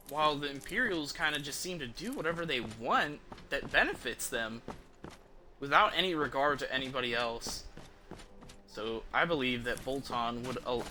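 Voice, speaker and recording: A man giving casual, halting video game commentary as he thinks aloud. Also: mid-range voice, bright, forceful tone.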